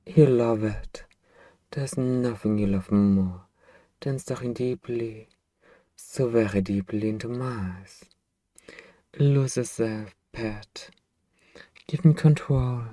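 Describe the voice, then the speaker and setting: A man playing a possessive, doting vampire master in an intimate, coaxing ASMR roleplay, with hushed, drawn-out phrasing. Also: fairly low pitch, no echo. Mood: seductive, commanding. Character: possessive male vampire master, hypnotic, doting, anxiously tender.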